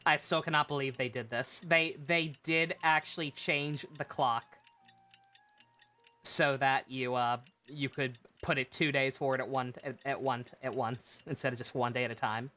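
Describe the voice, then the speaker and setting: Low voiced lady game streamer, swears a lot, ranty and exasperated, game music behind her. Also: steady tone, moderate pace, bright, forceful tone. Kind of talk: casual livestream commentary over a video game.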